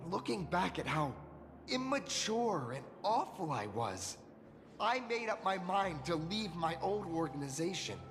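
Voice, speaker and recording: High, creaky, hesitant young rookie hero. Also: male voice, sing-song, unhurried, noisy background, heavily acted. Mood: hesitant, confessional. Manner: earnest, hesitant, humble. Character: earnest, modest, self-doubting young rookie hero, reformed delinquent. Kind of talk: animated game voice acting. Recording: long reverb, clear music behind.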